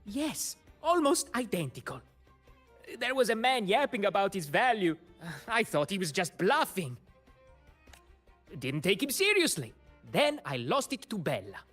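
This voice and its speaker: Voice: medium pitch, sing-song, a little slow, fairly bright tone. A voice actor playing a laid-back, chatty sailor in a video game, with game music behind. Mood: casual.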